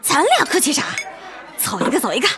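A gushing middle-aged auntie type, high swooping voice, noisy crowded room around her. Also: wildly swooping pitch, fairly quick. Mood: effusive, sociable. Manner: effusive. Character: gushing, sociable middle-aged family friend at a banquet. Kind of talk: drama dialogue.

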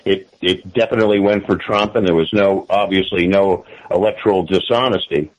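A man from the United States giving political commentary as an interview guest, speaking haltingly with repeated words. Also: sits low, highs cut off.

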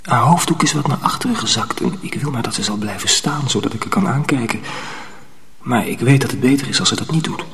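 An actor playing a secretive, dreamy young apprentice in a radio drama, subdued and hesitant. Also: male voice, medium pitch, a little fast, fairly bright tone, slightly rough. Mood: dreamy, tender. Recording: noisy radio play recording.

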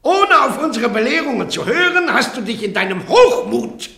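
A stern, fanatical inquisitor in a classic radio play. The voice is high and bright, swooping widely as it preaches and admonishes in a roomy echo. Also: male voice, big pitch swings, normal speed, creaky, full character voice. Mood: thundering, accusing. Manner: declamatory, preaching. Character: stern fanatical male church inquisitor, threatening and unctuous. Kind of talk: classic German radio drama acting. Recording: echoey, roomy radio drama recording.

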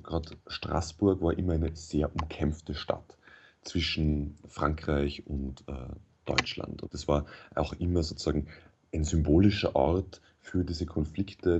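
A man speaking German as his first language, a radio interview guest who explains things in a measured way with frequent hesitations. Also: rumbling low, darkish tone, slightly rough, muffled recording. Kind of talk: thoughtful radio interview answers.

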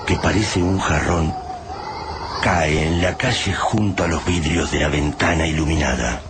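Radio drama narrator: deep male voice, measured and descriptive. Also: very noisy. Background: loud music score.

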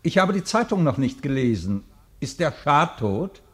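A man's voice: fairly high pitch, sing-song, moderate pace. An actor playing a mysterious male anarchist president in a radio play, breezy and mockingly cheerful. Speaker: anarchist leader Sonntag.

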